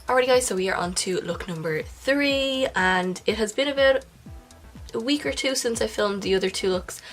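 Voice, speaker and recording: A young woman, nasal and congested, chatting casually through a makeup tutorial, now and then dropping into a soft whisper. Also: medium pitch, moderate pace, soft background music. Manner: chatty, self-correcting, breaks into soft whispered asides. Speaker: makeup vlogger. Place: indoors at home, filming a close-up makeup tutorial.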